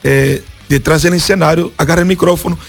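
Gravelly Brazilian pastor telling his story. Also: on the high side, steady tone, a little fast. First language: Portuguese. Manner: earnest storyteller, acts out voices in his story. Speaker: pastor, radio guest. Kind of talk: radio interview, personal testimony.

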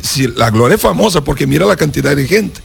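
A man's voice: on the high side, animated, fast, creaky, husky, faint music behind. A Brazilian man, a pastor and native Portuguese speaker, giving an earnest personal testimony in Spanish as a guest on a radio interview. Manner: earnest storyteller, acts out voices in his story.

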